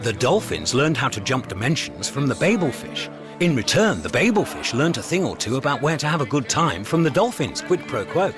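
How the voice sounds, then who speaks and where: Radio comedy: knowing, breezy space traveller played by a man, mid-range, lively intonation, music behind. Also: medium pitch, brisk, close to an everyday voice. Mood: knowing, casual. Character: breezy, knowing, hard-drinking male galactic traveller.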